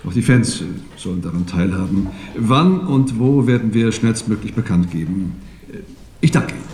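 An actor playing a formal, firm football club official addressing the press in a crime drama. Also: male voice, fairly low pitch, lively intonation, moderate pace, a little mellow, natural voice. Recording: roomy echo, faint noise.

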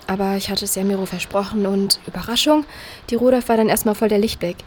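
A young adult woman playing a distressed, stubborn young woman in a naturalistic German audio drama, speaking in a blunt, halting, colloquial way. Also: medium pitch, darkish tone, slight background noise, natural voice. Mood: weary, candid.

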